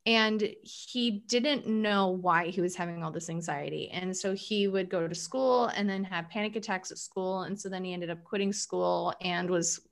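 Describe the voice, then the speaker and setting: A woman therapist guest in an interview, explaining coping methods in a lively, animated way. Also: on the low side, normal speed, vocal fry, few pauses. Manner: animated, explanatory, acts out quoted thoughts. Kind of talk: interview conversation.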